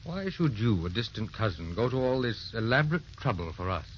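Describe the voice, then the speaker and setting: A man's voice: on the low side, big pitch swings, fairly quick, a little hiss or hum. An actor playing a dignified, sentimental widowed father, formal and earnest, in old-time radio drama style. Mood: grave, kindly. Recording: dull old radio recording, music behind.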